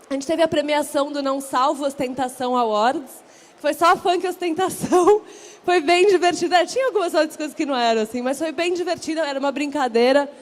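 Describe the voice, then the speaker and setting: A woman with a São Paulo accent hosts a panel on stage, her voice sitting high. She talks in an upbeat, chatty way and keeps the audience involved. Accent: São Paulo Portuguese. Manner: upbeat, chatty, rallies the crowd. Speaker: event host. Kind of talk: live stage hosting. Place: stage at a large event.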